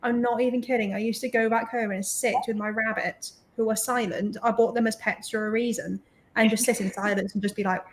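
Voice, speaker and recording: A young woman co-host chats casually over a video call. Her voice is fairly high, and she talks with a lot of filler words. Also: fairly even intonation, a little fast, slight background noise, poor audio. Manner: self-described introvert, many fillers, laughs often. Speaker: co-host, former science teacher. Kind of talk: casual chat.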